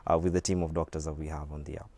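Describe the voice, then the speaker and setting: A Kenyan man in his thirties, a doctor, explaining calmly with many "um"s in a TV studio interview on a lapel mic. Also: rumbling low, vocal fry. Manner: measured, explanatory, with frequent "um"s. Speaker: medical doctor, app company guest. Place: TV studio set.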